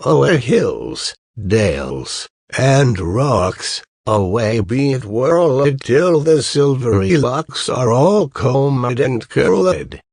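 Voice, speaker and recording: A man voicing a sorrowful young goose girl, a wronged princess who chants rhymes in drawn-out, incantatory verse. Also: mid-range voice, big pitch swings, vocal fry, a little mellow, husky, no echo, clean studio sound, heavily acted. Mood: chanting, commanding.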